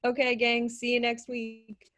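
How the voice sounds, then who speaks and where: A woman playing a gentle, encouraging leader of a support group for game villains in a script reading. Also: on the high side, monotone, unhurried.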